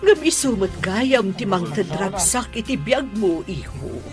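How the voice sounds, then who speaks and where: A woman with an Ilocano accent playing a motherly older woman in a radio drama, speaking slowly. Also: medium pitch, expressive, close to an everyday voice. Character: mother of the groom, older woman, warm and approving. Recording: clear music bed behind the voice.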